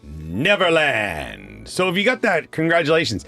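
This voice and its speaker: A man's voice: fairly high pitch, huge pitch swings, measured pace, gravelly, bright, forceful tone. A Canadian man hosting a children's radio show, chatting casually and encouragingly and putting quiz questions to young listeners. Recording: music playing behind the voice.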